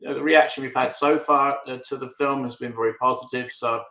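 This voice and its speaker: A man with an English accent, a filmmaker answering interview questions over a video call, halting with many fillers and restarts and a dry, wry humor. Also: medium pitch, fairly even intonation, bright, forceful tone, echoey room. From England. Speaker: filmmaker guest.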